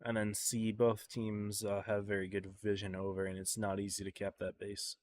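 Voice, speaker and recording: A man casting an esports match on a live stream, congested and tired, calling the play in a relaxed, subdued way. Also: fairly low pitch, normal speed, a little hiss or hum. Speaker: esports caster.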